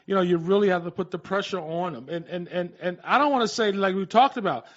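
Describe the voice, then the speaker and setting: A man with an American accent and a high-pitched voice hosts casual radio talk. He sounds agreeable and halting, circling back over his phrases, and the audio is dull and lo-fi. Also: fairly bright tone. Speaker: radio host.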